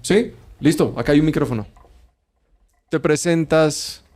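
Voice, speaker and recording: A man's voice: fairly high pitch, a little slow, a little mellow, faint music behind. A man, casually moderating a live panel as its host.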